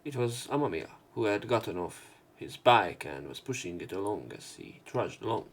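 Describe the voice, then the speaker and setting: Low, gravelly man reading aloud. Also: lively intonation, normal speed. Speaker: let's play host. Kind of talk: reading visual novel narration and dialogue aloud.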